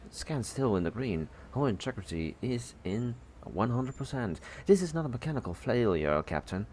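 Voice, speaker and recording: A man playing a calm, factual female starship engineer in an audio drama, giving brisk technical reports. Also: mid-range voice, slight background noise, lightly acted. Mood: focused.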